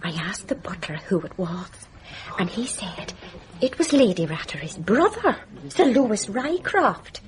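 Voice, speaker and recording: A woman's voice: mid-range voice, sing-song, a little slow. A woman playing an eager, chatty gossip in a radio mystery drama. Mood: eager, confiding.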